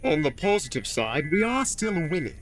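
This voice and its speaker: A man playing a dutiful alien second-in-command in a sci-fi audio drama, calm and formal as he reports. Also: fairly high pitch, normal speed, crowd noise.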